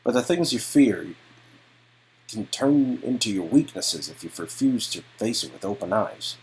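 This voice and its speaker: A man acting out a guarded adult man from a game, protective and wry, who opens up in halting, earnest confessions. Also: lowish voice, lively intonation, darkish tone, poor audio. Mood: earnest, vulnerable. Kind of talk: dramatic game dialogue performance.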